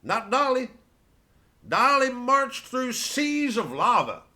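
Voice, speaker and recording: A man's voice: very high-pitched, very animated, slow, bright, forceful tone. An American man doing stand-up as a rambling comic storyteller, with a folksy, colloquial and meandering delivery. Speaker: stand-up comedian.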